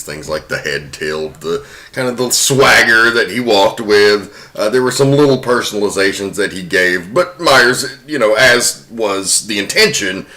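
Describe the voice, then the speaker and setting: A man's voice: on the low side, sharp tone. A man hosting a podcast, presenting film trivia in an informal way. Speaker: podcast host.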